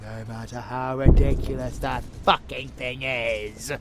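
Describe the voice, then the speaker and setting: A man playing a sadistic, gloating vampire monster in an audio roleplay, with a gravelly, menacing, foul-mouthed delivery that savors every word. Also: medium pitch, steady tone, a little slow, very noisy, close to an everyday voice. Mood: bitter, mocking. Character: sadistic, gloating male vampire monster who relishes slaughter.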